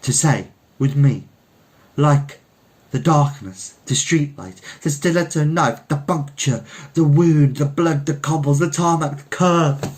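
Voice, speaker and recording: A man reading a story puts on an old French poet orating in public. He is forceful and insistent, with a raised pitch and firm, declamatory delivery. He speaks like a thinker addressing a street crowd. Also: a little slow, natural voice.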